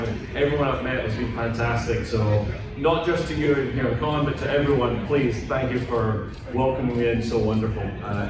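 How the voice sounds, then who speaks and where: A man with a Scottish accent, talking casually as an author on a convention panel, rambling and self-deprecating. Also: mid-range voice. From Scotland. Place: convention hall. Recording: echoey hall, distant mic, noisy.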